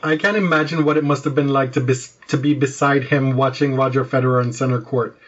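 A man's voice: medium pitch, steady tone, fast talker, noisy background. A man hosting a podcast in a casual, conversational style, recorded with slightly dull audio. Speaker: podcast host. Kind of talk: casual chat.

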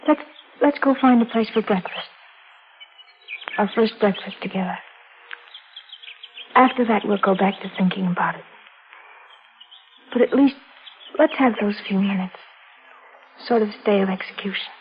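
An actress playing an anxious, devoted young bride on the run, earnest and pleading, in 1940s radio style. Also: female voice, medium pitch, normal speed, pauses often, natural voice. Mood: soothing. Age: young adult. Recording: noisy, muffled 1940s radio recording.

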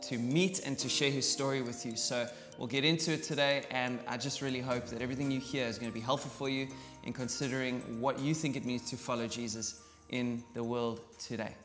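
A man's voice: medium pitch, fairly even intonation, fairly quick, few pauses, fairly bright tone. A man hosting a podcast, upbeat and friendly, with lots of ums. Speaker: podcast host. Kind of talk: casual interview hosting. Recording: echoey room with faint music.

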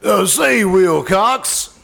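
A man playing a pompous, blustery senator in an old-time radio advertisement, with a gravelly voice. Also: high-pitched, expressive, extremely slow, a bit bright, heavily acted.

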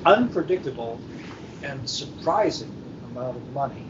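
Male panel guest, deliberate and formally phrased, heard over a lo-fi live feed with plenty of background noise. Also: mid-range voice, expressive. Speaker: science fiction book editor, panel guest. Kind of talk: measured panel discussion. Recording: muffled, noisy live hotel internet-call recording, distant.

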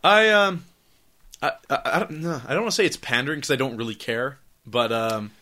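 A man hosting a casual podcast, hoarse while getting over laryngitis, talking in a loose, profane, rambling way with lots of fillers. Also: mid-range voice, big pitch swings, fairly quick, bright, forceful tone. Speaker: podcast host.

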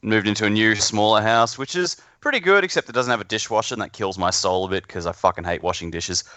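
A man with an Australian accent and a lowish, clean, resonant voice, recorded dry. He chats informally as a podcast guest, blunt and sweary, often poking fun at himself. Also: fast talker, pushed and bright, dry recording. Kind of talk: casual chat.

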